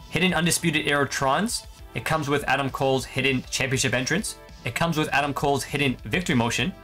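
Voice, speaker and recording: A man's voice: medium pitch, fairly bright tone. A man in his twenties from Canada presenting a video game update to viewers from a bedroom. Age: 20s. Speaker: gaming video creator and host. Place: small bedroom, indoors. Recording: music behind the voice.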